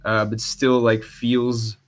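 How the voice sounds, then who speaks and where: A man's voice: mid-range voice, flat delivery, takes it slowly. Hesitant young Belgian man. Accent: Belgian Dutch-speaking. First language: Dutch. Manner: hesitant, many fillers and restarts. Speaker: musician guest. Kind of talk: casual podcast interview.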